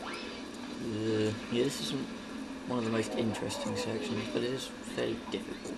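A woman with a croaky morning voice doing casual gameplay commentary, excitable and quick to yelp at the game. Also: a bass voice, very noisy. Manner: excitable, yelps and exclaims at the game. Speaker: gaming video host. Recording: loud game sound over the voice. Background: video game sound.

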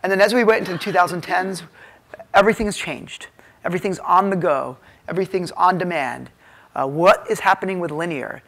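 Higher-voiced American man presenting on stage. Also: a bit bright. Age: 30s. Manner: halting, filler-heavy, informal delivery. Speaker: keynote speaker, tech executive. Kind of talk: informal conference presentation. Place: hotel conference ballroom stage, indoors. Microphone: lapel mic.

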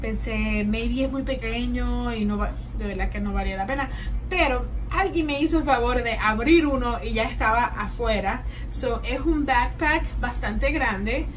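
Higher-voiced woman vlogging over background noise. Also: moderate pace, rough recording. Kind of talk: casual vlog chat, mixing English and Spanish. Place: home kitchen, outdoors, and inside a car.

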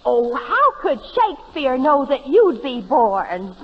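A girlish, naive American chatterbox in a radio comedy. The voice is piercingly high and swoops wildly in a perky singsong. The 1930s recording is noisy and muffled. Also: sing-song, slow pace, in a large hall, exaggerated voice.

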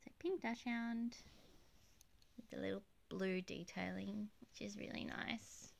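Chatty woman with a gravelly voice. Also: medium pitch, steady tone, measured pace. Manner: gushing, chatty, soft giggles. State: slightly croaky from illness. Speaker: plush collector YouTuber. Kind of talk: casual show-and-tell narration. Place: bedroom with plush toys on display. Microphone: handheld phone camera, off-camera voice.

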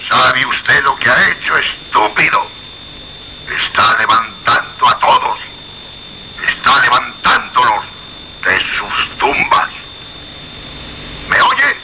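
An actor with a Castilian Spanish accent playing a menacing, indignant anonymous caller in a radio suspense drama, speaking in an imperious, emphatic, repetitive way. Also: male voice, medium pitch, background noise. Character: menacing anonymous male phone caller, indignant guardian of secrets.

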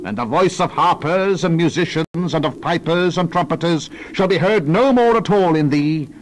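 A man's voice: fairly high pitch, slight background noise, rough recording. Man voicing a mighty declaiming angel of doom. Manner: declamatory, drawn-out cries. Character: mighty heavenly angel proclaiming doom, stern and grand.

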